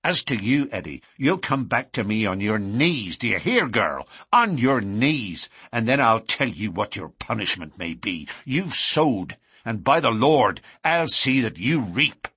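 A young male villain from a storybook, acted by a male reader. He is savage and domineering, with a husky, lively-pitched voice and a curt, menacing delivery. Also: measured pace, no echo, clean studio sound, exaggerated voice. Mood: furious.

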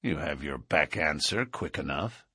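A man voicing a menacing, gruff lodge boss in an audiobook, blunt and threatening as he interrogates. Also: very deep voice, lively intonation, measured pace, pushed and bright, dry recording, clean studio sound, voice pushed down, exaggerated voice. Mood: probing. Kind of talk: audiobook character dialogue.

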